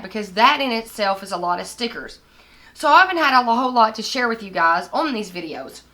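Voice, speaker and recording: Hoarse, scratchy-voiced woman vlogger. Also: fairly high pitch, bright, forceful tone. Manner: chatty, shows items to camera. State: hoarse, recovering from lost voice. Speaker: planner vlogger. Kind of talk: casual product haul vlog. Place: indoors at a desk with lighting kit.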